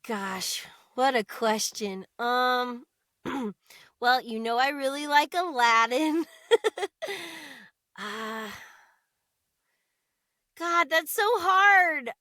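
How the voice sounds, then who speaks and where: An American woman chatting casually as an interview guest, expressive and chatty. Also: fairly high pitch, very slow, pauses often, dry recording, studio quality. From United States.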